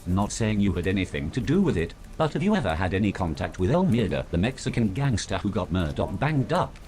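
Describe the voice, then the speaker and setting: A courteous interviewer bot, acted by a man, asks scripted questions in a deep male voice. The formal delivery rises and falls widely, over faint background noise. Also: very animated, brisk, a little mellow. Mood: inquisitive, formal.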